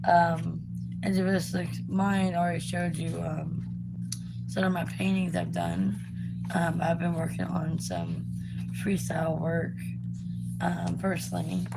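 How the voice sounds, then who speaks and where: Raspy young woman chatting while drawing. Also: fairly low pitch, slow, loud music behind the voice, low-quality recording. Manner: rambling, many ums and likes. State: raspy from recent illness. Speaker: art vlogger. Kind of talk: casual chat while drawing.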